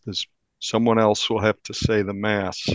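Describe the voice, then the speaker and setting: A man, a newspaper reporter, recounting a crime case in an interview with measured, deliberate storytelling. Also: lowish voice, flat delivery, clear, resonant tone, no echo.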